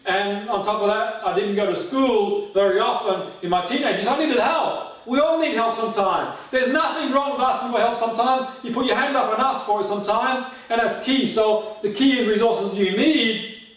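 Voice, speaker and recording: High-voiced male motivational speaker, very echoey room. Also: moderate pace, fairly bright tone. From Australia. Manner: direct address with repeated catchphrases and rhetorical questions. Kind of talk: motivational goal-setting seminar talk. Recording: very echoey room, distant mic.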